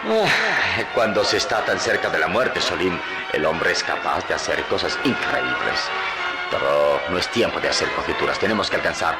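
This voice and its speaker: An actor playing a calm, strong hero in a radio serial, steady and determined even while straining. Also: male voice, low voice, normal speed. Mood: resolute. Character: strong, calm heroic adult man. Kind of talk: 1960s radio serial acting. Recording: old radio drama under loud music.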